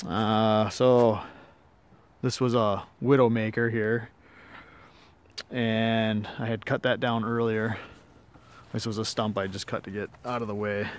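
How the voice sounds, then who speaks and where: A man's voice: lowish voice, level tone, takes it slowly, pauses often. A man giving a casual hands-on demonstration in a laid-back, plain-spoken, hesitant way, recorded outdoors on a handheld camera. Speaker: amateur product demonstrator. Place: outdoors in woodland.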